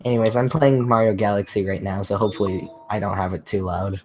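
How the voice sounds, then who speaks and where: Low-voiced young man chatting while gaming. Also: steady tone, fast, a little mellow. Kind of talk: casual chat while playing a game. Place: online voice call. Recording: slightly noisy voice call.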